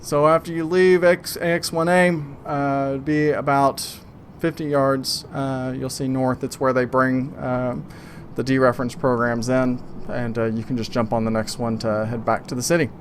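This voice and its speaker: A man running a tabletop game, improvising narration in a halting, conversational way. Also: mid-range voice, flat delivery. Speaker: game master. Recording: faint background noise.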